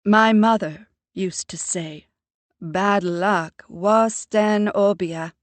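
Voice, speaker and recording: A woman's voice: medium pitch, drawn-out words, no room sound. A woman reading the part of a proud, composed older Jamaican mother who speaks formally and lectures her daughter. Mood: weary. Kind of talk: audiobook character voice.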